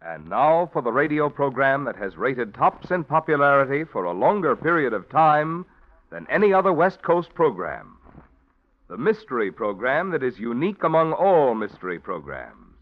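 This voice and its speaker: Booming vintage radio announcer man. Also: sing-song, moderate pace. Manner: booming, salesy. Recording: dull old radio broadcast with music.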